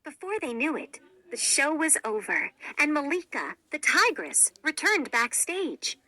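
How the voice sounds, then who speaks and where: A woman narrating a children's read-along story in a deliberate, expressive storytelling manner. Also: high voice, rough recording.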